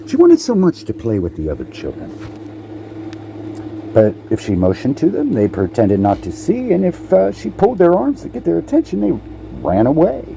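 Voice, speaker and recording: A man reading a children's picture book aloud in a halting way, repeating words and slipping in playful asides to the listener. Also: on the low side, dark tone, a little hiss or hum, poor audio. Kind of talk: storybook read-aloud with casual asides.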